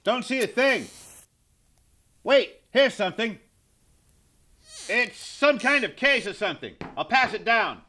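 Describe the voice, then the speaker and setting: A man's voice: extremely high pitch, animated, unhurried, pauses often, pushed and bright, exaggerated voice. A man playing an eager young amateur sleuth in a 1930s-style audio mystery. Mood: curious.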